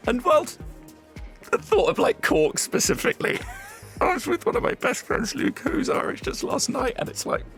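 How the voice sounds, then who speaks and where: Flustered, stammering man with creaky, lively voice. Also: very high-pitched, normal speed, faint music behind. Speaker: comedy audio drama actor. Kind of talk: flustered out-of-character chat.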